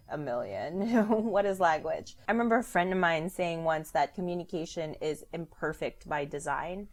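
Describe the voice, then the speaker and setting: A woman's voice: fairly low pitch, a little mellow. Rambling young woman. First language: Filipino. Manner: rambling, chatty, with joking asides. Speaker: vlogger. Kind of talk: casual commentary vlog.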